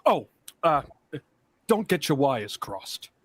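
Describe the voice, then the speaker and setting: A man's voice: on the high side, big pitch swings, takes it slowly, over loud music. A man playing a brusque, offhand doctor in a vintage-style radio drama. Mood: offhand, weary. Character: brusque, unhurried male doctor on the telephone.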